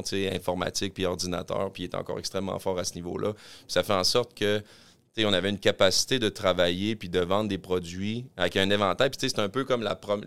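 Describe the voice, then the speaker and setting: A man from Quebec City with a Québécois accent, a podcast guest telling his business story in fast, rambling casual chat. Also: sits low, fairly bright tone, smooth. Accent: Québécois French. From Quebec City, Canada. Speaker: podcast guest, clothing-store co-founder.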